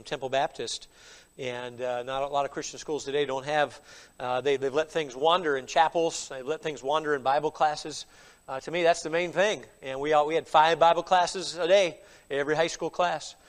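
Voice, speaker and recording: Middle-aged pastor, high voice, stuffy with a cold, emphatic sermon delivery. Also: male voice. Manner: emphatic preaching with frequent "all right?" asides.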